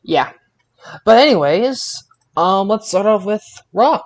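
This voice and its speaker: A man hosting a casual wrestling podcast, speaking haltingly and repeating words as he searches for phrasing. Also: high voice, expressive. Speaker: podcast host.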